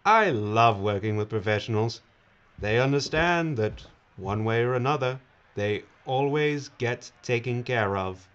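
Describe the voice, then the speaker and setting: An actor playing a smarmy, self-satisfied corporate boss with a glib, patronizing manner in an audio drama. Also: male voice, medium pitch, lively intonation, measured pace, a bit bright, clear, resonant tone. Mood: smarmy, self-pleased. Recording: dull, noisy audio.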